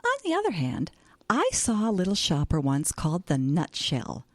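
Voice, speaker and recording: A woman's voice: lowish voice, wildly swooping pitch, normal speed, no room sound. An actress playing an airy, stubborn older hippie grandmother with a wry, theatrical manner in an audio comedy drama. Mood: cheerfully unbothered.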